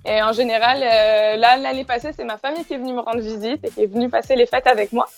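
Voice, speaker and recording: A woman's voice: a little high, fairly even intonation, fast talker, soft background music. A young woman from southern France with a southern French accent and slight Quebec traces, answering casually in an interview, often searching for her words. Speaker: interview guest.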